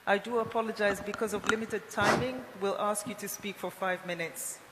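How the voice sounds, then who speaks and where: A woman's voice: mid-range voice, fairly even intonation, moderate pace. A woman moderating a formal roundtable, addressing a conference. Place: large indoor conference hall. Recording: echoey hall, noisy room ambience.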